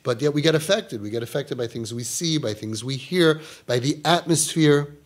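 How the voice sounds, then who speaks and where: Animated man teaching a Torah class. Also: fairly high pitch, moderate pace. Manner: quotes Hebrew texts then explains in English, rising emphatic questions. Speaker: rabbi giving a Torah class. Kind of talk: animated religious lecture.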